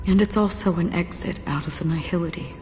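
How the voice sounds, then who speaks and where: An actress voicing a solemn female watcher who guides lost souls, speaking in a calm, measured way and softly whispering at times. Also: on the low side, over music, lightly acted. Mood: gentle. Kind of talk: game cutscene voice acting. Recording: rain noise behind the voice.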